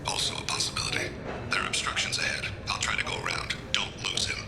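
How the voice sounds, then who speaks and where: A man voicing a calm, stealthy alien assassin in a video game, terse and formal, in a gravelly near-whisper over an echoing comm. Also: quick pace, noisy background. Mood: calm, watchful. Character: soft-spoken, stealthy male alien assassin, calm and watchful. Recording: echoey, distant comm-link sound.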